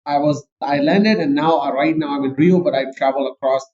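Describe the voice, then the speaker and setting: A man's voice: on the high side, brisk, darkish tone, highs cut off. A man with a Pakistani accent, a guest chatting eagerly and rambling with self-deprecating jokes. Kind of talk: casual interview chat.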